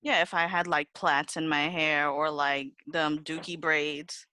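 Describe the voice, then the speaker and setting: A woman's voice: low-pitched, fairly even intonation, a little slow, pushed and bright, no echo. A woman in a casual group chat, telling stories in a slangy, sweary, exclamatory way. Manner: slangy, profane, exclamatory storytelling. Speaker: podcast co-host.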